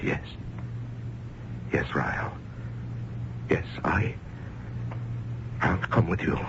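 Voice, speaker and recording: An actor playing a shrewd financier in a radio drama, expressive and given to theatrical hesitation. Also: male voice, low-pitched, very slow, highs cut off, exaggerated voice. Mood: wavering, bewildered. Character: shrewd male financier, wavering between doubt and defiance. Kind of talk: old-time radio drama acting. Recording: band-limited, noisy old radio recording.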